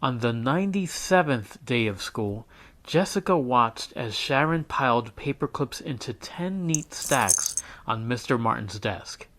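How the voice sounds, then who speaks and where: A man reading a children's picture book aloud as a teacher, in an expressive storytelling style. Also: mid-range voice, measured pace.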